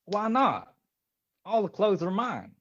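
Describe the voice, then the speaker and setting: An actor playing an urbane, mocking villain in a radio detective play, smug and drawling with elaborate politeness. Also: male voice, high voice, huge pitch swings, slow pace, fairly bright tone, heavily acted. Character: suave gloating male villain, urbane and mocking. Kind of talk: old-time radio detective acting. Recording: dull, lo-fi video call recording.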